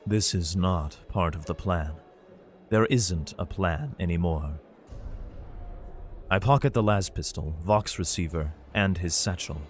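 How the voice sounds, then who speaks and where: A man's voice: deep voice, unhurried, faint music behind. A man playing a weary, protective father in an audio drama, telling his story of fleeing an invasion in a grave, dramatic way. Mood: somber. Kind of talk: first-person audio drama narration and dialogue.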